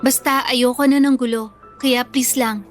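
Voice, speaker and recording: A meek, put-upon Filipino schoolgirl in a radio drama. Her voice is high and youthful, with an earnest, pleading manner. Faint music plays underneath. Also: steady tone, unhurried. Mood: shy, earnest. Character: meek, hurt bullied schoolgirl who loves pocketbook romances.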